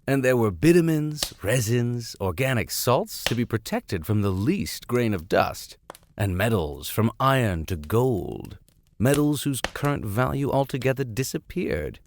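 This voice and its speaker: A man's voice: mid-range voice, animated, measured pace, a little hiss or hum. A man hosting a reading of classic books, playful and sardonic, slipping between narration and offhand tangents. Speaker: host and reader of a classics podcast. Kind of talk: audiobook reading with comic tangents.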